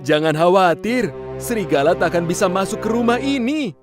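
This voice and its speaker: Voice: mid-range voice, lively intonation, a little fast, music in the background, pitched below the performer's own voice, natural voice. A performer voicing a confident, sensible eldest little pig brother in a children's cartoon story, firm and reassuring.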